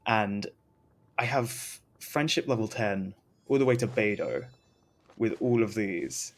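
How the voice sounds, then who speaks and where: A man's voice: on the low side, slow pace. A man chatting casually as he streams a game, rambling and often interrupting himself, with some noise on the recording. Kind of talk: casual gaming stream chat.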